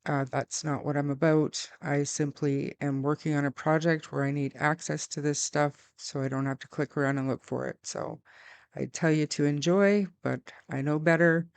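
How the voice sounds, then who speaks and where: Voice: medium pitch, steady tone, moderate pace, creaky, clean tone, dry recording. A narrator casually introducing a compilation in informal, plain-spoken language.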